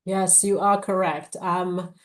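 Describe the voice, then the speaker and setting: A woman from Zambia with a slightly low voice. She speaks as a novelist at a live book event, in a measured way with thoughtful pauses. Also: fairly even intonation. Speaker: novelist, guest author. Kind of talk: live author talk and reading from a novel.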